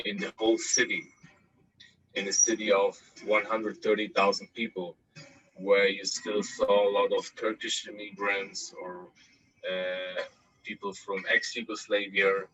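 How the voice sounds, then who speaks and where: A man in his thirties with a somewhat low, husky voice answers interview questions over a video call. His tone is bright and forceful, and he thinks aloud with fillers and restarts. The audio sounds dull and lo-fi. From Austria. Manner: thoughtful, many fillers and restarts. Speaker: guest journalist.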